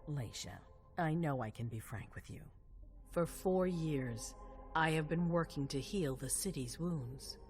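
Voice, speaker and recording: An actress playing a composed, calculating vampire ruler in a video game cutscene, measured and authoritative. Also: female voice, low-pitched, darkish tone. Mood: commanding, measured. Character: commanding vampire prince woman, composed, calculating, regal. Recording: faint music behind.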